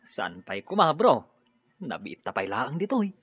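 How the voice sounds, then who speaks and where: Reluctant young guy, complaining, very lively swooping intonation, Ilocano accent, radio drama, slightly muffled. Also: mid-range voice, unhurried, slightly rough, no echo. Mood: reluctant, whiny.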